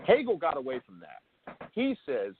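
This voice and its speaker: Male interview host, mid-pitched, phone-quality audio. Unscripted explainer, halting, self-correcting, emphatic on key words. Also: wildly swooping pitch, a little hiss or hum. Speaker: show host interviewing a guest. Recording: thin phone-like call audio.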